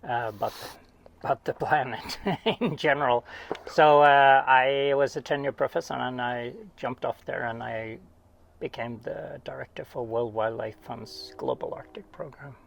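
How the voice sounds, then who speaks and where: A man's voice: mid-range voice, fairly even intonation, a little slow, vocal fry, a little hiss or hum. A middle-aged man from Sweden with a Swedish accent, a guest oceanographer chatting casually and self-deprecatingly, recorded in a small cabin. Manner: rambling, self-deprecating, with laughs and restarts. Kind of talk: casual interview chat.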